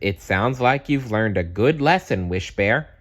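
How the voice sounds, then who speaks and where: A man voicing a kindly, wise female magical spirit in a children's storybook, gentle and reassuring. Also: fairly low pitch, expressive, a little slow.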